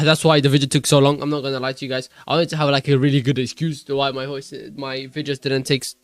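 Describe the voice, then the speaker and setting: A man's voice: medium pitch, no echo. Rambling young man with a cold. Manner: rambling, self-deprecating, many restarts and fillers. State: congested from a cold, voice worn. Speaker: art channel host. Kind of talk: casual voice-over while drawing.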